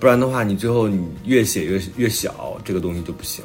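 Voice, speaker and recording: A man's voice: on the low side, fast talker, soft background music. A man talking casually and plainly as an author and host on a podcast, repeating phrases for emphasis.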